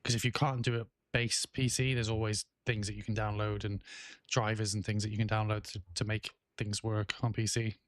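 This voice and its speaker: A man in his thirties with an English accent tinged with Welsh, chatting casually on a game stream while coughing and clearing his throat now and then. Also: fairly low pitch, level tone, a little fast, dry recording, clean studio sound. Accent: English with a Welsh twang. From England. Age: 30s. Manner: rambling, many fillers and restarts. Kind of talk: casual livestream chat, reading chat aloud.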